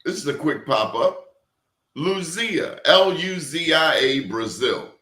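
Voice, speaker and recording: A man's voice: fairly high pitch, animated, slow pace, sharp tone, roomy sound. A man talking as a professor guest in casual conversation, telling emphatic stories and throwing out rhetorical questions.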